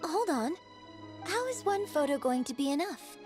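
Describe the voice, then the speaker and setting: A woman's voice: high-pitched, very animated, moderate pace, music in the background. A woman playing a poised young stage performer in a video game, friendly and playfully dramatic, speaking in a composed, articulate, theatrical way. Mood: friendly, upbeat.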